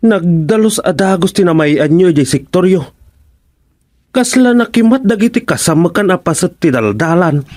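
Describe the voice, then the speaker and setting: Village watchman, Filipino accent, fairly high voice, grumbling and teasing, radio comedy-drama. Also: animated, moderate pace, darkish tone. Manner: exclaiming, repetitive.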